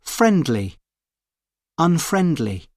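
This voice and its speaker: A man modelling pronunciation, reading isolated word pairs clearly for language learners. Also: fairly high pitch, big pitch swings, extremely slow, no echo, clean studio sound.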